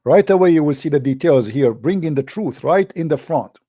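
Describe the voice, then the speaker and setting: A man's voice: a little high, lively intonation, a little fast, dark tone, husky, no echo, studio quality. A man hosting a live call-in show, speaking in an emphatic, sarcastic, preaching way.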